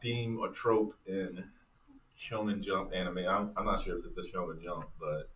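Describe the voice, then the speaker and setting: A man hosts a casual podcast interview in an informal, easygoing way. His voice is on the low side and sits back from the mic in a roomy space. There is faint noise behind him. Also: fairly even intonation, moderate pace, fairly bright tone, far from the mic. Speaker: podcast host.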